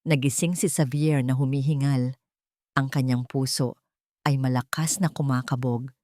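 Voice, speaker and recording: A woman narrates a scripted romantic drama in a low register. Her delivery is measured and dramatic, like an audio storybook. The sound is dry, with little room around it. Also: fairly even intonation, dark tone, dry recording.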